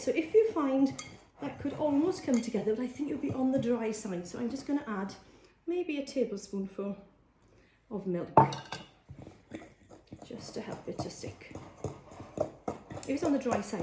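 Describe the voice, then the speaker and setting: A woman's voice: a little high, background noise. Chatty Welsh woman in an echoey kitchen. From Wales. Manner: chatty, homely, explains as she works. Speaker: cookery teacher. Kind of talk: casual cooking demonstration. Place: kitchen, indoors. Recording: echoey kitchen with faint clatter.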